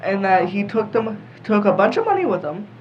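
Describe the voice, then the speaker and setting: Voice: medium pitch, sing-song, a little fast. A young podcast panelist talking casually in a group discussion. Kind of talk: casual chat. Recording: muffled audio with faint room noise.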